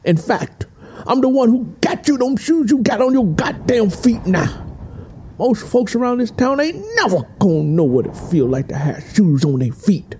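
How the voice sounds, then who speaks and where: A man's voice: high voice, very animated, moderate pace, dark tone, slight background noise. A man playing a possessive, menacing Southern suitor in an audio drama, insistent and emphatic. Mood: possessive, coaxing. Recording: music behind the voice. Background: music.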